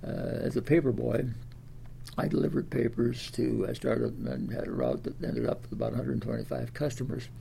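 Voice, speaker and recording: A very old man from Iowa, ninety years of age, recalls his life in a gravelly, mellow voice. He rambles along with fillers and restarts. Faint room ambience sits behind him. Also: mid-range voice, lively intonation, normal speed, a little hiss or hum. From Des Moines, Iowa. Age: 90s. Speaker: interviewee. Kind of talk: oral history interview.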